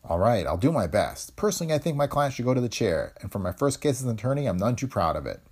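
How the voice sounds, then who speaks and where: A man's voice: mid-range voice, sing-song, fast, darkish tone, slightly rough, pitched above the performer's own voice. A man voicing a young male attorney who talks in a blunt, dry way. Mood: reluctant.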